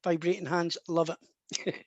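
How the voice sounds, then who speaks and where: A man's voice: on the high side, flat delivery, slow, no room sound, muffled recording. A man working as a psychic medium in a live online session, talking in a chatty, rambling way full of restarts.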